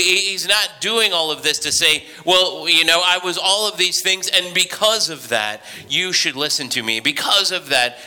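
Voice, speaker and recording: A man's voice: on the high side, sharp tone. A man preaching a sermon in a conversational, storytelling way, often restarting his sentences. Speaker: pastor. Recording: reverberant hall.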